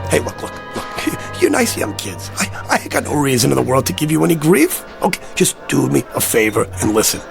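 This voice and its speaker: Desperate american man, very gravelly, swings all over, streetwise radio drama pleading. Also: medium pitch, wildly swooping pitch, brisk, few pauses, faint music behind, heavily acted. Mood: agitated, mocking. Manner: insistent, pleading, streetwise talk. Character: agitated, desperate American man haunted by a recurring dream. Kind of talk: vintage radio drama acting.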